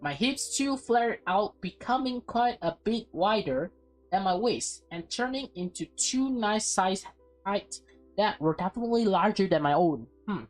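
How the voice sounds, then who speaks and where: A woman reading a visual novel aloud as a let's play host, expressive and breaking into casual, offhand asides. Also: mid-range voice, a little slow, soft background music, low-quality recording.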